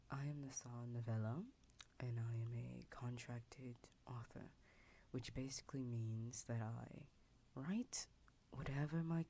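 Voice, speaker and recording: Very low-voiced whispering American woman. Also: slight background noise. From United States. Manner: soft whisper, rambling with restarts and asides. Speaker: ASMR storyteller. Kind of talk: whispered ASMR roleplay storytelling. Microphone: desk mic lowered near a keyboard. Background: keyboard typing sounds.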